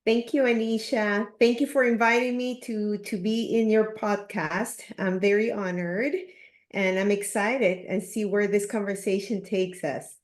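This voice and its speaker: Slow-paced Peruvian woman in a roomy echo. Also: medium pitch, steady tone. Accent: Peruvian Spanish. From Peru. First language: Spanish. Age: 50s. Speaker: podcast guest, leadership coach. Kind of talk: reflective interview talk. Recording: roomy, echoing sound.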